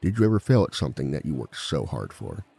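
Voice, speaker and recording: Suave male villain, played by a man for audio roleplay: bottomless pitch, thick vocal fry, measured lecturer's delivery with dry wit. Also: big pitch swings, dark tone, exaggerated voice. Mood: sly, instructive. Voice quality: very deep, gravelly. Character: suave male villain giving a dating seminar to fellow villains. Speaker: seminar speaker and relationship expert. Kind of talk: scripted ASMR roleplay monologue, seminar lecture.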